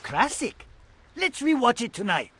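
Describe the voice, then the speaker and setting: A man playing an aging mutant rat father and martial arts teacher in an animated game, with a raspy, theatrical, instructive delivery. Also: very high voice, unhurried, full character voice. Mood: fatherly. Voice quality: raspy, gravelly.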